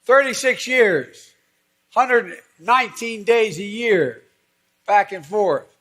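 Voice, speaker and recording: A man's voice: high voice, slow, fairly bright tone, pitched above the performer's own voice, full character voice. An older American man playing a brash, chummy senior train conductor in a spoken anecdote, exuberant and emphatic, drawing out his words. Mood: emphatic boasting.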